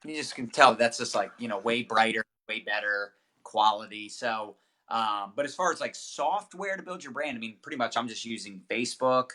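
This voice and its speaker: A man with a bright, forceful voice at an ordinary middle pitch, talking through marketing tips in an upbeat, chatty way. He sounds like a guest coach in a casual live interview, loose and full of fillers. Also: animated, normal speed. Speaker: network marketing trainer, guest.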